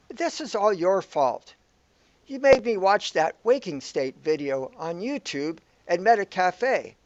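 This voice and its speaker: A man playing a bewildered, aggrieved man trapped in an absurd afterlife, complaining wordily in amateur sci-fi audio comedy style. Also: high voice. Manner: complaining, wordy. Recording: echoey room, dull lo-fi sound.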